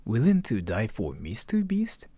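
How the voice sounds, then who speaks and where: A man's voice: fairly low pitch, huge pitch swings, measured pace, noisy background. A young man reacting casually to a video on a livestream, using a lot of slang and hesitantly repeating himself. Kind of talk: casual live reaction commentary.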